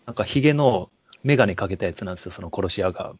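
A man co-hosting a podcast, talking casually about films in a halting, storytelling way. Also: on the low side, expressive, breathy edge, dry recording. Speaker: podcast co-host. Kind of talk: casual film discussion.

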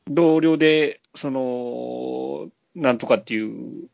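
A man's voice: mid-range voice, slow pace, gravelly, darkish tone, no room sound. A man with a Kansai accent chatting casually about films as a podcast co-host, piecing his thoughts together as he goes. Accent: Kansai Japanese. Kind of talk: casual film discussion.

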